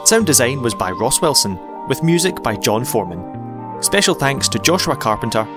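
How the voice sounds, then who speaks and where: A man's voice: medium pitch, big pitch swings, moderate pace. Male credits announcer over music. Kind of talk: scripted narration. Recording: clear music underneath.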